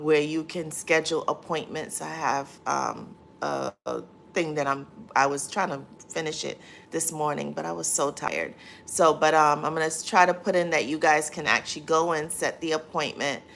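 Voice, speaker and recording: A woman's voice: low voice, creaky, a bit bright, a little hiss or hum. A woman hosting a casual livestream, reading viewer comments aloud and answering them with many fillers. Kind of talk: casual live chat.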